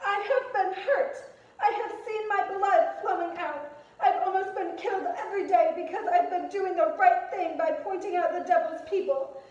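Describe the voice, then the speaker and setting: Self-righteous witch trial accuser woman acting, high insistent voice, super echoey and kinda dull. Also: normal speed, a little mellow. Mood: indignant. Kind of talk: amateur puppet-show drama acting. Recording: very echoey room, dull sound.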